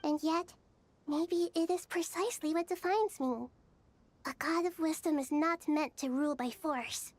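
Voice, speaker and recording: A woman playing a gentle, wise young girl goddess in a video game, soft, gracious and formal. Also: very high-pitched, normal speed, no echo. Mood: resolute. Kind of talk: scripted video game dialogue.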